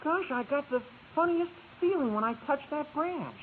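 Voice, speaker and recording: A gawky, eager teenage boy in a fifties radio comedy. The voice is high and squeaky, leaping around in pitch. The recording is narrow and tinny. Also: lively intonation, normal speed, a little hiss or hum. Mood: bewildered, earnest. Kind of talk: 1950s radio sitcom acting.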